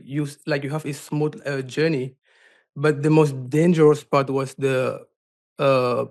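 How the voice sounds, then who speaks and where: A man with a Cameroonian accent telling a personal story as an interview guest, haltingly, often dropping in "like". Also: mid-range voice, darkish tone. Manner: halting, frequent "like" as filler. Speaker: podcast guest, life coach and advocate.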